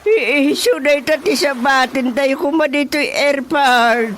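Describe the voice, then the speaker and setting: A woman's voice: sits high, measured pace, fairly bright tone, a little hiss or hum. A woman playing a gullible, eager, flustered relative in a radio comedy drama, stammering and repeating words in a fawning way. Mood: fawning, naive. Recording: music behind the voice.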